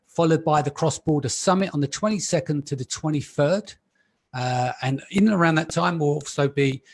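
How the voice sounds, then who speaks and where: Brief: male host, fairly high voice, relaxed chatty delivery on a call. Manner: relaxed, chatty, frequent ums. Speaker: podcast host. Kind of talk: live panel discussion.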